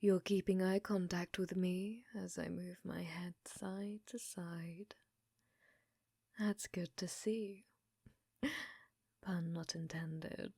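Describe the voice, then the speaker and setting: A woman playing an obsessive, flirtatious scientist in an intimate ASMR roleplay, with soft breathy speech and precise clinical phrasing. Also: lowish voice, steady tone, takes it slowly, long pauses, no room sound, clean studio sound, full character voice. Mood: clinical, curious. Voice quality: soft, breathy, often whispered.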